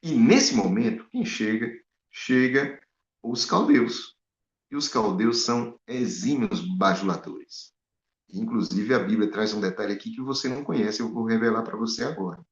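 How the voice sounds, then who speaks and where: A man preaching a Bible study sermon, animated and full of rhetorical questions to his listeners. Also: lowish voice, moderate pace. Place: in Spain. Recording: echoey, dull lo-fi room sound.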